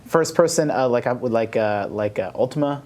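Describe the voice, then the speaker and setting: A man's voice: medium pitch, animated, fairly quick, darkish tone. A man in casual panel chat, talking in a puzzled way as he repeats and clarifies his questions. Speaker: podcast panelist.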